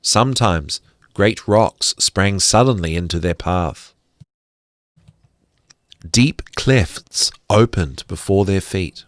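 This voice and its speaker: Male fairy-tale narrator, lowish voice, dry close sound, expressive and deliberate. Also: dry recording. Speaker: storyteller.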